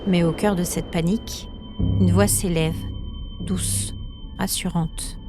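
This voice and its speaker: A woman narrating a scripted story podcast in a measured, suspenseful, dramatic way, with short weighted phrases. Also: lowish voice, steady tone. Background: music.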